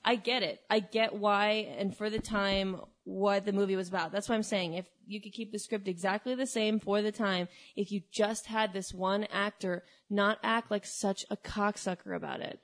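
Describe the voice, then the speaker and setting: A young woman in the middle of a loose comedy podcast conversation, pushing her point hard with plenty of profanity. Her voice sits at an ordinary middle pitch, and she talks bluntly and with animation. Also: steady tone, moderate pace, hardly any pauses. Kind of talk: casual comedic chat.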